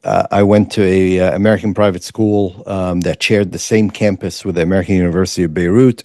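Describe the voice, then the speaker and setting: Deep-voiced man. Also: steady tone, a little fast, mellow, no echo, studio quality. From Lebanon. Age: 50s. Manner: earnest, reflective, many fillers. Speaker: guest, former state legislator. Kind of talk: conversational interview storytelling.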